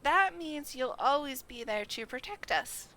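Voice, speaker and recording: A small, sweet, insistent girl from a fantasy game acted out by a woman. The voice jumps up high and bright, childlike and full of questions. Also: lively intonation, a little slow, slight background noise, heavily acted. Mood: curious.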